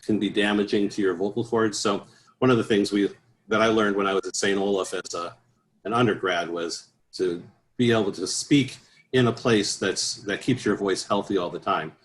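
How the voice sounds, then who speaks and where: Low-voiced easygoing man, halting speech. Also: fairly even intonation, a little hiss or hum, poor audio. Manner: easygoing, many restarts and "uh"s, chuckles at his own stories. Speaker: choral bass singer, guest. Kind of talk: relaxed interview answers.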